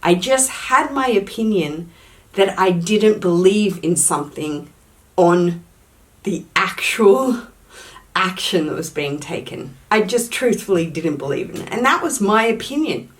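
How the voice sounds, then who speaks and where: A woman's voice: medium pitch, lively intonation, a little slow. A young woman, a YouTuber talking casually to camera. She stresses her points by repeating words and hedges her claims. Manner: uses "allegedly" often as a hedge.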